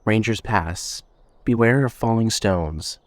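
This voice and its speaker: A man narrating a scripted suspense story in a measured, storytelling manner. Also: on the low side, no echo.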